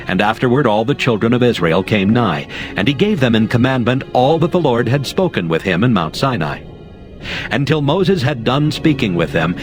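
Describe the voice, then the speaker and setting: A man narrating scripture in a steady, formal storytelling manner. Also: mid-range voice. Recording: soft music underneath.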